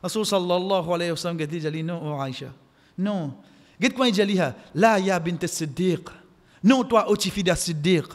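Male preacher, Mauritian Creole accent, high-pitched, impassioned and exhortative, stand mic in a reverberant mosque. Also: normal speed. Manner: impassioned, exhortative, repeating phrases for emphasis. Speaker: preacher giving a Friday khutbah. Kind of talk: sermon with Arabic quotations. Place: mosque, indoors at the pulpit. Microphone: microphone on a stand, close. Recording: echoey hall with long reverb.

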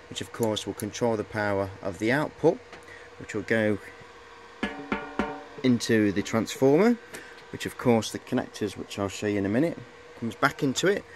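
Middle-aged male, slightly low voice, informal hobbyist demo with halting, wandering delivery, light workshop noise underneath. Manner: rambling, hesitant, repeats "of course". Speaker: hobbyist presenter. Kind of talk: casual show-and-tell vlog. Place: cluttered indoor workshop.

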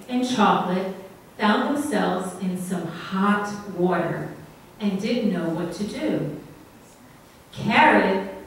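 A young woman telling a story to children at church in a slow, gentle way, asking them questions as she goes. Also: medium pitch, a little hiss or hum. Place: church sanctuary, at the front. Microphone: far room camera. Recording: very echoey church from far off.

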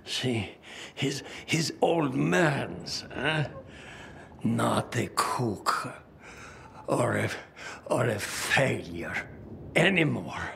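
Casting: proud old wounded game character, weak and halting, gravelly creak, strained sharp edge. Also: male voice, medium pitch, sing-song, slight background noise, heavily acted. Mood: pained, insistent. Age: older. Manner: halting, stammering speech broken by pauses. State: weak, breathless, coughing, in pain. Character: wounded old man, proud father, dying and stubborn. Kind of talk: dramatic video game acting.

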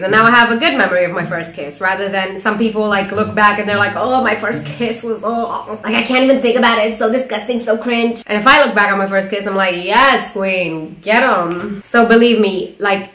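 A young woman in her early twenties talks back at a video on her screen, teasing and sarcastic, laughing between lines. Her voice sits at an ordinary middle pitch. The small home room around her adds a noticeable echo. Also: a bit bright. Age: young adult. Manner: teasing, giggly, sarcastic asides. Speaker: reaction vlogger. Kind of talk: casual reaction commentary.

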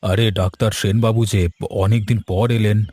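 A man's voice: lowish voice, steady tone, moderate pace, a little mellow, close to an everyday voice. A man voicing a worried, aching, grumbling village husband in a Bengali cartoon, with a plaintive manner. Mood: polite, uneasy.

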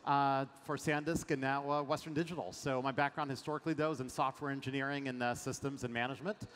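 A man's voice: medium pitch, steady tone, fast, fairly bright tone. A middle-aged man from France, a venture investor, talking energetically with many fillers in a fireside chat on a large conference stage, through a headset mic. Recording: long hall reverb, faint ambience.

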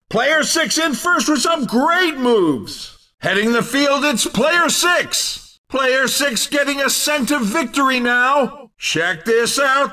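A man's voice: very high-pitched, pushed and bright, heavily acted. A man playing a brash, playfully teasing video game race commentator, with punchy, theatrical announcer delivery and a slightly distant sound. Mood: excited.

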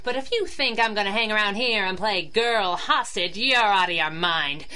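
A woman's voice: medium pitch, moderate pace, sharp tone, close to an everyday voice. A woman playing a cocky, wisecracking young heroine in a pulp radio drama, sassy and teasing. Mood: gleeful taunting.

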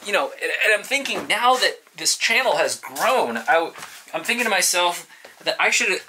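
Bright-voiced man chatting casually to viewers. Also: sits high, animated, a little hiss or hum. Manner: informal, halting, thinking aloud. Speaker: vlogger. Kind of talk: casual, rambling vlog chat to viewers. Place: inside a parked converted school bus.

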